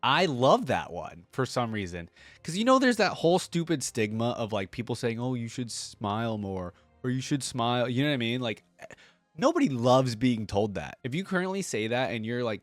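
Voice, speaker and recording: A woman's voice: a bass voice, big pitch swings, normal speed, dry recording, faint music behind. A woman giving casual, chatty reaction commentary as a video host, speaking informally.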